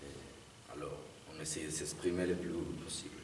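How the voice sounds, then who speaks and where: A man from Spain speaking French with a Spanish accent, halting and searching for words. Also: very deep voice, steady tone. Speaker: circus juggler. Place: theatre hall. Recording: echoey hall, distant mic, audible noise.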